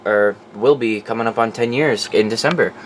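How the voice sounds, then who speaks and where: A man's voice: lowish voice, normal speed, a little hiss or hum. A man presenting his collection in a casual, off-the-cuff, informal way, sometimes profane. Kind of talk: casual off-the-cuff show-and-tell.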